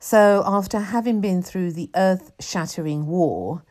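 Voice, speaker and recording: A woman's voice: fairly low pitch, unhurried, darkish tone. A woman hosting an interview show who formally introduces her guest and asks questions. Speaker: host.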